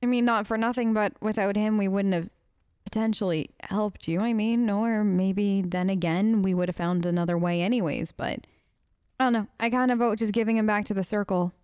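Clear-voiced woman role-playing casually. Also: mid-range voice, normal speed, a little mellow, no room sound, studio quality. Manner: informal, tentative, thinking aloud. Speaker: gamer role-playing her character. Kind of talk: casual improvised role-play commentary. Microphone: close microphone, voice-over on gameplay.

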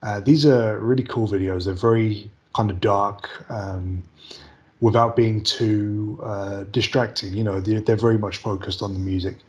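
British male interviewer, thirties, lowish voice, informal questioning over a video call. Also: fairly even intonation, normal speed, a little mellow, muffled recording. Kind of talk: casual interview. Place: room indoors on a video call.

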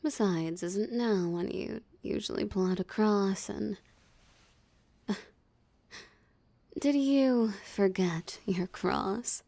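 Woman acting as a smug teasing vampire lady for an asmr roleplay, mellow creaky voice, real close and dry. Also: medium pitch, takes it slowly, pauses often, no room sound. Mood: mocking, amused. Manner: teasing, drawling, theatrically smug, breathy asides. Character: possessive, mocking female vampire toying with a hunter. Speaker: voice actor. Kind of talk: ASMR roleplay audio drama.

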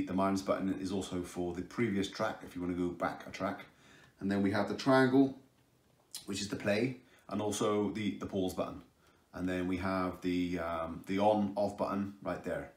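A man casually walking through the buttons of a speaker as a product reviewer, explaining step by step with fillers and restarts. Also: low voice. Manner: raises his voice over loud music during the sound test. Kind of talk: casual unboxing demo. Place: indoors at a table, camera overhead. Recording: echoey room, music playing. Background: speaker playing music.

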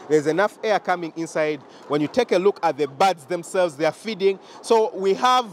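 A young Ugandan man films himself close to a handheld camera as he walks his chicken farm, his voice sitting a little high for a man. He explains things patiently and talks straight to his viewers. Faint outdoor ambience hums under him. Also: slight background noise. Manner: explanatory, repeats points, addresses viewers directly. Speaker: farm owner giving a tour. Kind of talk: walking farm tour vlog. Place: outdoors at a poultry farm and inside a chicken house. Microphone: handheld camera close to the face.

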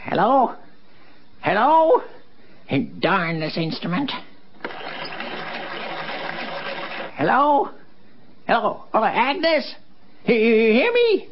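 A man's voice: very high voice, big pitch swings, slow pace, pauses often, highs cut off, exaggerated voice. Folksy old countryman, noisy recording. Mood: agitated. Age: older. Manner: folksy, rambling, muttering to himself. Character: folksy old country man, grumbling but kindly dog owner. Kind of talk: old-time radio drama acting.

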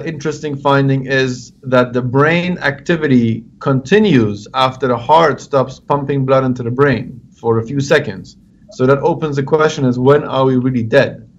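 Neurosurgeon on a muffled video call. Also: male voice, medium pitch. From Afghanistan, raised in Germany. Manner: hesitant with many fillers, explanatory. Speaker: neurosurgeon, interview guest. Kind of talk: explaining research on a video call. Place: home office. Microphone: video call webcam. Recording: dull lo-fi video call audio.

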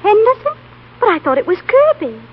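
Very high, fluttery mother. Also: very animated, brisk. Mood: welcoming, flustered. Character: fluttery, scatterbrained, cheerful mother. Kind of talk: 1930s radio drama acting. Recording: dull 1930s radio broadcast recording.

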